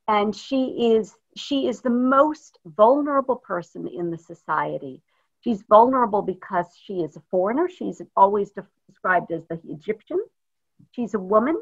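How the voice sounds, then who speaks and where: Woman rabbi, thoughtful unscripted teaching, middle-pitched voice, dull video-call audio. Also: gravelly, fairly bright tone. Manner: thoughtful, searching for words. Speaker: rabbi, guest speaker. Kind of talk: informal teaching talk.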